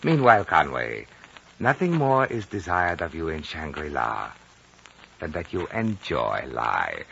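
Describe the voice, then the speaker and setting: An actor playing a courteous, composed official of a mountain lamasery in a formal, measured 1950 radio drama style. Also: male voice, extremely low pitch, very animated, creaky, full character voice. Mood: formal, reassuring. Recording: dull noisy 1950 radio broadcast.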